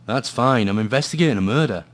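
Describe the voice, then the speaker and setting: A man's voice: medium pitch, expressive, quick pace, close to an everyday voice. A man with a Scottish accent playing a weary, dry private investigator in a noir radio drama, hesitant and understated. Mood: probing, incredulous. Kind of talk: noir radio drama, dialogue and brooding first-person narration.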